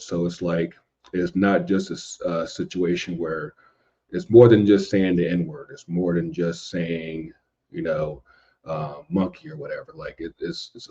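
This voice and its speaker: A man chatting casually as a podcast guest, halting and circling back over his phrases. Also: low voice, dark tone, muffled recording. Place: indoors at home in a city.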